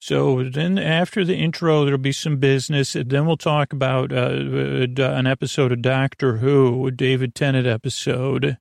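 A man hosting a bedtime podcast in a casual, meandering monologue, halting, trailing off and circling back, with a gravelly voice. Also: medium pitch, little pitch movement, dry recording, clean studio sound. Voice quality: creaky, gravelly. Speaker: podcast host.

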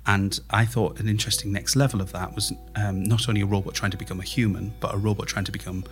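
A man's voice: fairly low pitch, steady tone, quick pace, slight background noise. A man speaking as an interviewed playwright, explaining his thinking in a reflective, conversational way.

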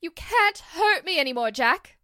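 A wronged, emotional mother in an old-time radio melodrama, played by a woman. The voice is high and piercing, swooping dramatically with bitterness. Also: expressive, measured pace, bright, forceful tone, heavily acted. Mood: agitated. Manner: theatrical, emotional. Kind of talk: 1940s radio drama acting.

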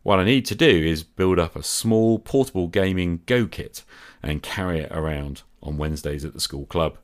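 A man presenting a scripted solo podcast as its host, speaking in a measured, deliberate way with emphatic phrasing. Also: low voice.

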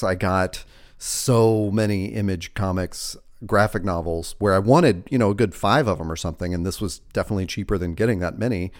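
A man co-hosting a casual podcast, chatty and teasing, with many fillers. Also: on the low side. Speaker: podcast co-host.

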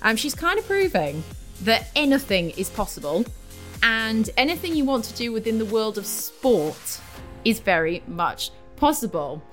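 A woman hosts a podcast with an effusive, enthusiastic manner. Her pitch swoops up and down in a lively way. Faint music plays softly behind her. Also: moderate pace. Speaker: podcast host. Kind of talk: casual interview hosting.

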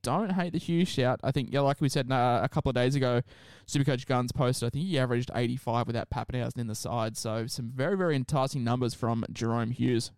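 A man's voice: medium pitch, fast, darkish tone, clear, resonant tone, no echo, studio quality. A young man co-hosting a podcast, chatting bluntly and opinionatedly about sports, with casual swearing. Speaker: podcast co-host.

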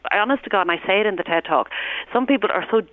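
An Irish woman calls in as a guest, earnest and personal, stumbling through restarts and jumping high whenever she quotes someone. The sound is thin and tinny, like a phone line. It is dry, with no room around it. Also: animated, rapid-fire, no room sound. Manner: earnest, repeats and restarts, jumps up in pitch when quoting people. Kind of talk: animated personal conversation.

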